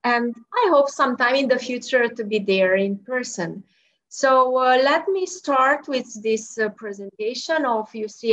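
A woman's voice: a little high, a bit bright, no echo. A woman, an experimental physicist giving a friendly, explanatory talk over slides from her office, with wry asides. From Romania. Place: her office at work, in the evening. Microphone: video call. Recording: dull lo-fi video call audio.

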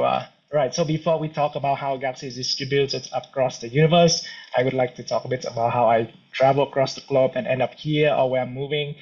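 A man, giving an academic seminar talk in a halting, relaxed way with frequent restarts. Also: mid-range voice. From Vietnam. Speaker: cosmology researcher giving a seminar. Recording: dull lo-fi recording.

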